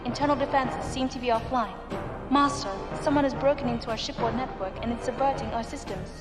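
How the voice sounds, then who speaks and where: A woman's voice: a little high, steady tone, fast talker, close to an everyday voice. A woman playing a dutiful, devoted artificial ship's intelligence in a science fiction audio drama, precise and deferential. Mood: formal, reporting. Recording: echoing hall reverb, music behind.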